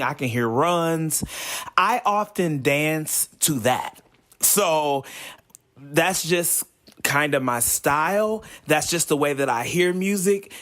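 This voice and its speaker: A man with a slightly high voice hosts a podcast in casual, upbeat talk. He is playful and folksy, addressing listeners directly and often repeating a phrase to drive it home. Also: a bit bright, no echo. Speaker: podcast host.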